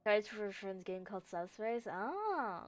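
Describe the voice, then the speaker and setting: A woman's voice: mid-range voice, very animated, a little slow. A woman livestreaming a game, chatting casually and playfully with viewers and reacting as she plays. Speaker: game streamer. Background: faint background music.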